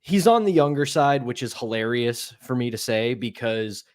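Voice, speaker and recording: A thirty-something man with a medium-pitched voice, recorded dry with no room sound. He gives casual sports commentary as a podcast co-host, conversational and full of his own opinions. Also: lively intonation, normal speed, darkish tone, clean tone, no room sound. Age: 30s.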